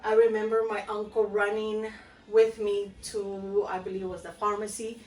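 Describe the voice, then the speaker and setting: A woman's voice: mid-range voice, level tone, moderate pace, a little mellow. A woman from Guatemala, perhaps in her thirties, chatting casually with lots of fillers while she shows a recipe in her home kitchen. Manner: chatty, rambling, many fillers and "okay?" check-ins. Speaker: home cooking vlogger. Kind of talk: casual home cooking demonstration. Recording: roomy, mic at a distance, faint room noise.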